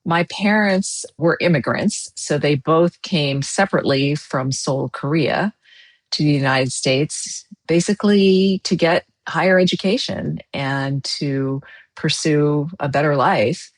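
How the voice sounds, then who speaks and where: A woman from Hawaii as a podcast guest, telling her life story quickly and thoughtfully. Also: low voice. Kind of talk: interview storytelling.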